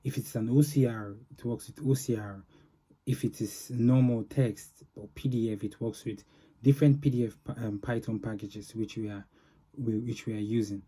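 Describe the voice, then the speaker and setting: Dark-voiced man presenting a software demo. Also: medium pitch, steady tone, normal speed, husky. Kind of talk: informal product demo walkthrough.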